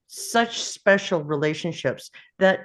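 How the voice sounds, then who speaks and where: A woman with a low voice shares her view in a casual group discussion. She speaks slowly and thoughtfully, pausing as she looks for the right words. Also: animated.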